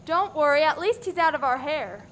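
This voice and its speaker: A woman plays an eager diner survivor in a farcical radio drama. Her voice is very high and bright, with clear noise behind it. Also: lively intonation, normal speed. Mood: curious, upbeat. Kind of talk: comic stage radio play acting.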